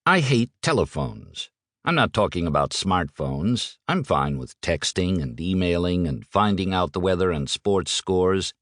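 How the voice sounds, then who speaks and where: Low-voiced man narrating with swooping intonation. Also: very animated, a little slow, a bit bright, no room sound, studio quality. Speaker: audiobook narrator. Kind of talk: scripted first-person audiobook narration.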